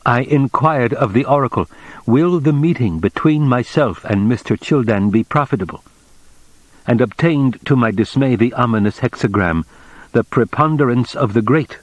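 A man reading a novel aloud, voicing a formal, measured middle-aged Japanese official who speaks with precise, deliberate courtesy. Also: medium pitch, no echo, voice pushed up, lightly acted, rough recording.

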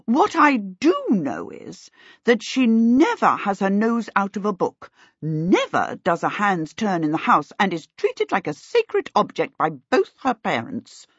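A woman's voice: mid-range voice, wildly swooping pitch, measured pace, husky, dry recording, lo-fi sound, full character voice. A woman voicing a bossy, rich older aunt who gives commands in an audiobook. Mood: imperious. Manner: commanding, decreeing. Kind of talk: audiobook character voice.

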